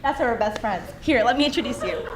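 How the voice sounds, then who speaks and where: A woman's voice: fairly high pitch, animated, fast talker, natural voice. A woman playing an eager, teasing yoga devotee in a comedy sketch, chatty, blunt and breezy, recorded in an echoing room. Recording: roomy echo, faint ambient noise.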